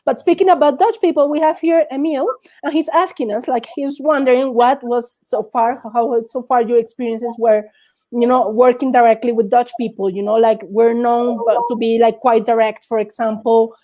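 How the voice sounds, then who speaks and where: A woman's voice: on the high side, steady tone, normal speed, mellow, low-quality recording. A young woman hosting a live video-call interview, chatty and laughing, talking casually into an earphone mic in a small room. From Colombia. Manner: chatty and laughing, with many fillers. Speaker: host.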